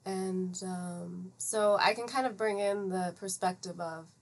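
A woman in her thirties from the United States, talking reflectively and in measured explanations as a guest in a conversational interview. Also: lowish voice. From United States (Atlanta, Georgia). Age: 30s. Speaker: podcast guest, psychiatric pharmacist.

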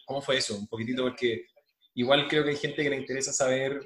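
A man's voice: medium pitch, steady tone, quick pace, a bit bright. A man with a Chilean accent interviewing a guest, posing long, looping questions in a casual tone. His voice carries a roomy echo.

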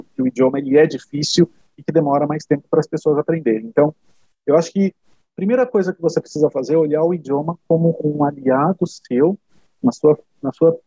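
A man's voice: a little high, warm tone, breathy edge, dry recording. A Brazilian man answering interview questions in a casual, explanatory way, often tagging phrases with short checks to the listener. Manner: explanatory, many fillers like "né" and "tá". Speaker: interview guest. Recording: dull lo-fi sound.

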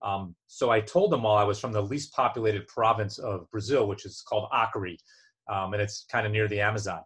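A man's voice: lowish voice, a little fast, a bit bright, slightly rough. A man from New Jersey chatting casually as co-host, joking and self-deprecating, often restarting his sentences. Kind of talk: casual chat.

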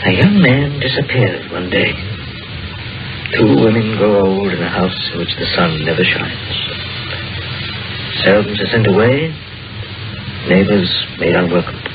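An actor playing an imaginative, dryly amused small-town doctor who muses like a storyteller in an old-time radio drama. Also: male voice, on the low side. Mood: musing, wry. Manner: musing, storytelling, deliberate phrasing. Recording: muffled vintage radio recording with music.

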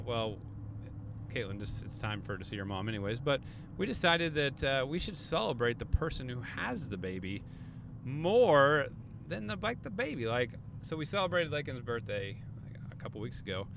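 A man's voice: mid-range voice, sing-song, moderate pace, gravelly, noisy background. A man in his thirties co-hosting a podcast, chatting and joking casually with his wife. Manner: joking, playful banter. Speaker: podcast co-host. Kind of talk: casual chat. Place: in a car.

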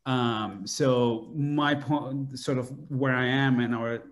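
A man's voice: medium pitch, steady tone, slow, a little hiss or hum. A young man from Bangladesh, a neuroscience PhD student, answering interview questions with lots of fillers and "sort of". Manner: hesitant, many fillers and "sort of".

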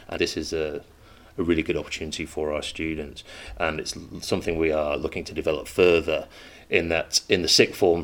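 Deep deep voice, guy teacher talking about languages, steady and earnest, some ums. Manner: earnest, measured, with frequent ums. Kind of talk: interview monologue. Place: school in Manchester.

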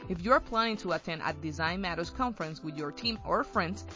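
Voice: medium pitch, animated, brisk, pushed and bright, lo-fi sound, soft background music. A speaker reading an upbeat event promotion. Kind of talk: read promo and chat.

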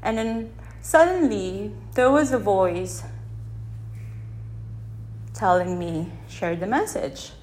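A woman from the Philippines whose first language is Tagalog, talking unscripted into a phone held in one hand on her podcast, gently and with many restarts as she searches for words. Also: mid-range voice, takes it slowly, long pauses, a little hiss or hum. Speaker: podcast host. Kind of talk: unscripted reflective podcast monologue. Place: unedited setting with background sound.

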